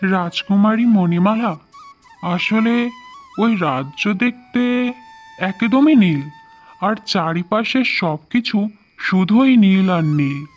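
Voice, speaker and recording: A man's voice: high-pitched, lively intonation, slow pace, pauses often, dull audio, soft background music. A man voicing a proud, determined young suitor in a fairy-tale cartoon, speaking earnestly.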